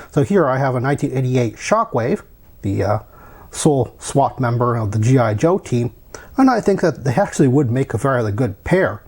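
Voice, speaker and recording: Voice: mid-range voice, a little mellow, slightly rough. A reviewer of unclear sex narrating a review in a musing, explanatory way with casual asides. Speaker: toy reviewer.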